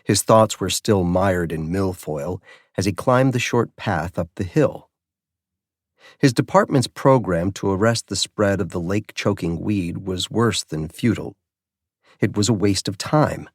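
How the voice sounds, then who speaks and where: Mystery audiobook narrator: adult male, lower register, scripted prose, close dry sound. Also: a little slow, breathy edge, no room sound.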